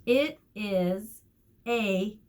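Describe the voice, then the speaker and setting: A woman teacher leading a storytime lesson for young children, asking questions, encouraging them and repeating key words deliberately. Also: mid-range voice, darkish tone. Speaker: preschool teacher.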